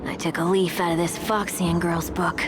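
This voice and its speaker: A woman's voice: lowish voice, flat delivery, normal speed, background noise, natural voice. A woman voicing a nonchalant, teasing, self-assured woman in a video game. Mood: teasing, breezy. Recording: game music behind the voice.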